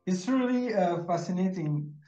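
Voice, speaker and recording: A man's voice: sits high, expressive, moderate pace, warm tone, faint music behind. A male host reading a formal introduction and asking interview questions, with slightly awkward phrasing. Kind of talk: scripted interview hosting. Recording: echoey, dull lo-fi sound.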